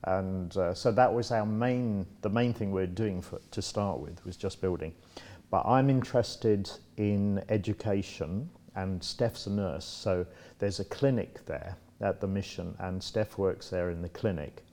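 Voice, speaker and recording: A man's voice: low voice, measured pace, dark tone. A man in his sixties, born in Congo, answering interview questions in a casual, thoughtful way with many restarts and fillers. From Democratic Republic of Congo. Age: 60s. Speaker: interview guest.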